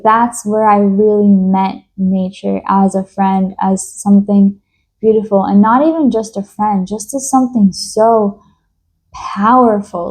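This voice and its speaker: A young woman from New York talks in a slow, reflective personal monologue, thoughtful and hesitant, with many fillers and restarts. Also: mid-range voice, dark tone, poor audio. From United States. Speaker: vlogger.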